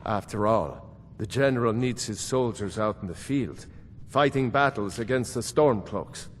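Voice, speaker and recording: Man playing a tactful court steward, echoing hall. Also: close to an everyday voice. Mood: tactful, hesitant. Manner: measured, deferential. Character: patient, tactful, dry middle-aged court steward. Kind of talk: fantasy game character acting. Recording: reverberant hall, faint rumbling noise.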